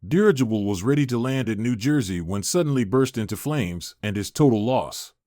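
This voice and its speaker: A man's voice: medium pitch, animated, a little fast, warm tone, dry recording, clean studio sound. A man giving a scripted narration, reading out old newspaper reports.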